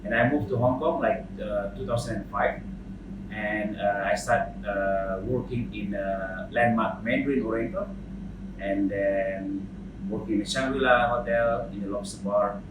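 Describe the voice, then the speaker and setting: Indonesian accented guy, bit low, halting with lots of uhs, echoey room, mic far off with noise behind. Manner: halting, many fillers and repeated words. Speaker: bartender and bar founder. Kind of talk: interview monologue. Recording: roomy sound from a distant mic, clear background ambience.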